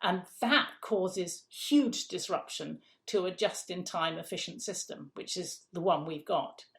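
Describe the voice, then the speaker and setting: Gravelly-voiced woman explaining food supply. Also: on the low side, measured pace, fairly bright tone. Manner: explains figures step by step, repeats words, emphatic. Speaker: food council guest. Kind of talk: conversational interview explanation.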